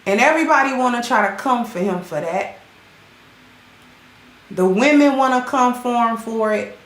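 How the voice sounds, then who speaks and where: A woman hosting her channel, chatting casually with viewers and greeting them by name, her voice sounding hoarse. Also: fairly high pitch, animated, moderate pace. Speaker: channel host. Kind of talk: casual livestream commentary. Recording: roomy echo with faint music.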